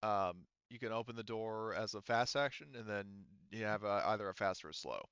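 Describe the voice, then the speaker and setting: Male tabletop game master, lowish bright voice, casual and sweary delivery. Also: a little fast, clean tone, dry recording, dull audio. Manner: informal, profane.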